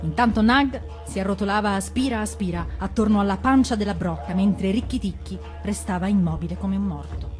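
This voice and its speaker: A woman's voice: medium pitch, fairly quick, hardly any pauses, darkish tone, faint music behind. A woman narrating a storybook tale in a dramatized reading style. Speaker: actress reading a fairy tale.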